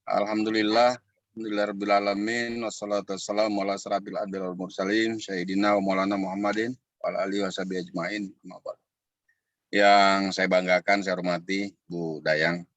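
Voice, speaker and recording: A man giving an informal address as a webinar guest, courteous and halting in his delivery. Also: sits low, level tone, a bit bright. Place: online video call. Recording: dull echoey online call audio.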